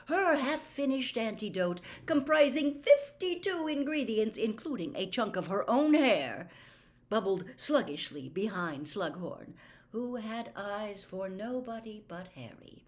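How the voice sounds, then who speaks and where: A woman reading a novel aloud as a storyteller, with deliberate, dramatic and expressive narration. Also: fairly high pitch. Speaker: audiobook reader.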